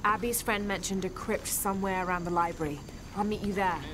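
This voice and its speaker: A woman playing a young, determined, thoughtful adventurer in naturalistic video game dialogue, speaking in a measured, inquisitive way. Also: steady tone, lightly acted. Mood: curious, businesslike. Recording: game ambience and rumble behind voice.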